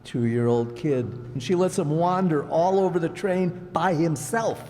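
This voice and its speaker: Brief: a man doing a curt, deadpan grump for a live radio comedy, mellow dark tone, roomy hall. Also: on the high side, unhurried, a little hiss or hum, lightly acted. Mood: sour. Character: small, blunt, sour brother-in-law. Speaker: amateur radio actor. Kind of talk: old-time radio comedy acting. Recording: live hall reverb with faint ambience.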